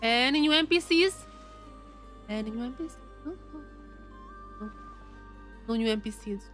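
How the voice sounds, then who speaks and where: A woman's voice: fairly high pitch, animated, normal speed, music in the background. A woman doing casual live game commentary for her chat, repeating phrases as she thinks aloud, her voice a little worn from a cold. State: getting over a cold, voice wearing out. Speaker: game streamer.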